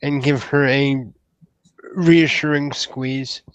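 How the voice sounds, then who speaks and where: Slow-talking man narrating a roleplay. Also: medium pitch, fairly even intonation, clean tone, no echo, lo-fi sound. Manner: hesitant, searching for words. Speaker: tabletop roleplay player.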